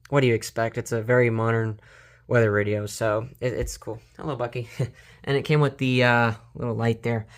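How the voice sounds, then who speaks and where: Casual, rambling man in a hobby vlog. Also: medium pitch. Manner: informal, rambling, hesitant, slangy. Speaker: hobbyist collector presenting a collection video. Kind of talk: casual unscripted show-and-tell vlog.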